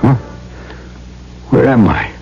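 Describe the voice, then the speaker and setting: A man's voice: takes it slowly, close to an everyday voice. An actor playing a brave, tender trader and adventurer who recounts his own tale in an old-time radio drama. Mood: weak, tender. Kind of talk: old-time radio drama acting and first-person narration. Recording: dull vintage radio recording with music.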